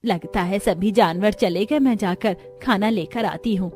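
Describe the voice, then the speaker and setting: A woman's voice: mid-range voice, measured pace, a little mellow, faint music behind, close to an everyday voice. A woman voicing a protective, fretful mother-in-law of a poor family in a cartoon story. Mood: cautious. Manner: cautious, fretful.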